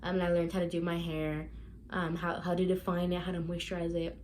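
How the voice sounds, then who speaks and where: A woman's voice: fairly low pitch, monotone, a little fast, noisy background. Chatty young woman vlogging. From United States. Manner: chatty, addresses viewers as y'all, many fillers. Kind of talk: casual storytelling vlog.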